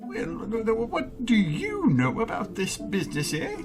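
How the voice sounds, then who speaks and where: A woman's voice: medium pitch, huge pitch swings, unhurried, music in the background. A woman playing a flustered, giggly monarch in a radio play, muddled and stammering as they preside over a trial.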